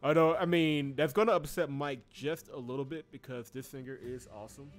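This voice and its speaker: A man's voice: medium pitch, brisk. A man streaming a story game, chatting casually with his chat and laughing often. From Georgia, US. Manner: chatty and animated, laughs often, reads game text aloud. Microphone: desk mic. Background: game soundtrack music.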